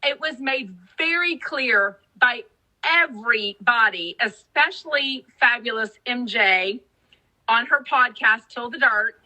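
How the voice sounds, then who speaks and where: A woman's voice: on the high side, animated, slow pace, bright, forceful tone, low-quality recording. A woman from Texas co-hosting a podcast, chatting and gossiping casually with lots of fillers. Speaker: podcast co-host. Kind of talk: casual recap chat.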